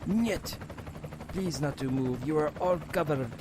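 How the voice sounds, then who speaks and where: A man's voice: on the high side, unhurried, background noise, close to an everyday voice. A man voicing a stern, formal Russian KGB officer with a curt manner in an audio drama.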